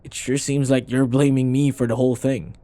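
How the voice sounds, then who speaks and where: A man's voice: mid-range voice, little pitch movement, fairly quick, warm tone, dry recording, close to an everyday voice. A man playing a sarcastic, irritable office rival who taunts and scoffs, in a scripted boyfriend audio roleplay. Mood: exasperated. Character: snarky, irritable male office rival, teasing and combative.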